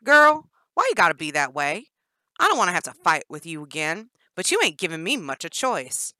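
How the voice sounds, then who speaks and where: A loud, brash female captor with a Southern twang and an explosive temper, put on by a woman reading a storybook. The voice is low and bright-edged, recorded dry and close. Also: big pitch swings, normal speed, dry recording. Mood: menacing. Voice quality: brash and shouting. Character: wiry volatile female kidnapper, explosive temper.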